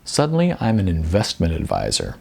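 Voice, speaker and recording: Man doing humble forty-something everyman in a storybook, um-filled, creaky, bouncy intonation. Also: low-pitched, huge pitch swings, a little fast, darkish tone, pitched below the performer's own voice, heavily acted. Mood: chatty, self-deprecating. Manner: hesitant, folksy, self-deprecating, many ums. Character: modest, good-natured balding 40-something male commercial model. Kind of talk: audiobook character dialogue.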